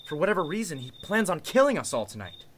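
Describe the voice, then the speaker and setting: Guy playing a calm bossy lawyer in an old radio mystery, sharp bright voice, animated, some crickets and hiss behind. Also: a little high, fast talker. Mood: grim. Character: calm, take-charge male lawyer carrying a gun.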